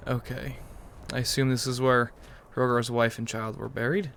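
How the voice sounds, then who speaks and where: Casting: male gaming host, medium pitch, casual roleplay commentary over faint game ambience. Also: flat delivery, normal speed, creaky, fairly bright tone, a little hiss or hum. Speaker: gaming video host and player.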